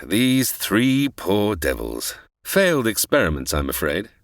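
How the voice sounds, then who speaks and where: A sinister gentleman villain with a deep, creaky voice. He speaks with silky mock courtesy and hugely swooping, theatrical intonation, as in a melodramatic radio comedy. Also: very animated, unhurried, no room sound, studio quality, heavily acted. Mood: gloating, sinister. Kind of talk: melodramatic comic radio drama acting.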